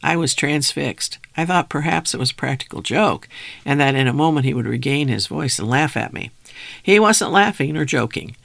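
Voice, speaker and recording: A man reading a first-person memoir aloud, then reading out descriptions of old photographs in a steady, measured way. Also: on the high side, gravelly, no room sound. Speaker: reader.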